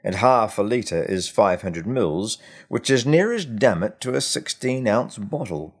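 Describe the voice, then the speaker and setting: A man's voice: on the low side, lively intonation, a little slow, breathy edge, exaggerated voice. A man voicing a plain-spoken woman at a company in a story, pointedly correcting a colleague.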